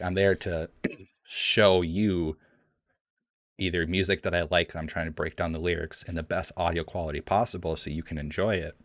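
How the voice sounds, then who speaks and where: A young man with a deep voice hosts a casual live Q&A, rambling with many ums and restarts, and his voice shakes a little from the cold. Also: dry recording. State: shaky voice from the cold. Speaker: streamer hosting a live Q&A. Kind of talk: casual livestream chat. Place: cold room at home. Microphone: home streaming mic.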